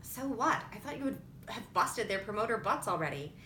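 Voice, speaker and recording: A woman's voice: expressive, normal speed, pushed and bright. A woman voicing an impatient, guarded rich young woman in an audiobook story. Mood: exasperated. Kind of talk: audiobook character dialogue. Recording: roomy echo with rumbling background noise.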